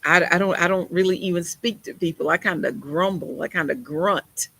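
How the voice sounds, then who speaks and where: Husky woman preaching emphatically. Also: fairly low pitch, normal speed, a bit bright. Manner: emphatic, conversational preaching with folksy phrasing. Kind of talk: sermon.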